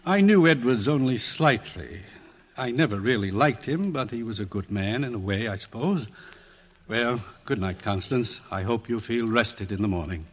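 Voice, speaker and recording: An actor playing a suave, condescending older psychiatrist with hidden menace, urbane and dry, in an old-time radio drama. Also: male voice, medium pitch, lively intonation, moderate pace, lightly acted. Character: suave, menacing senior male psychiatrist, secretly murderous. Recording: restored vintage radio, orchestral music behind.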